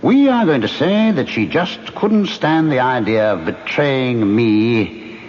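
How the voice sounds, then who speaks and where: A smug scheming ghost. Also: male voice, medium pitch, lively intonation, slow, darkish tone, slight background noise. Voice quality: smug, coaxing, sinister. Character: smug scheming male ghost who speaks unseen. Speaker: villain in a radio play. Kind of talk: 1970s radio drama acting. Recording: muffled old radio broadcast.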